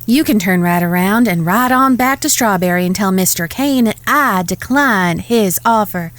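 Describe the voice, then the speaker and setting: A woman's voice: mid-range voice, moderate pace, a bit bright, dry recording, close to an everyday voice. A woman playing a proud, composed Old West ranch owner who speaks firmly in a Western audio drama.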